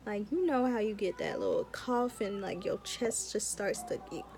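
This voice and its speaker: Young adult woman, student vlogger, mid-pitched voice, casual chat, informal, emphatic repetition, advice-giving. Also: very animated, normal speed, darkish tone, slight background noise. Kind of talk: casual chatty advice vlog.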